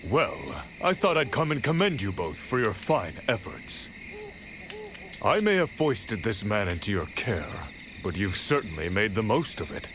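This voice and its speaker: An actor playing a proud, magnanimous noble lord in a video game, speaking in a stately, formal, theatrical manner. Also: male voice, lowish voice, very animated, measured pace, noisy background. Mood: genial. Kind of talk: dramatic video game cutscene acting. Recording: loud background music under voice.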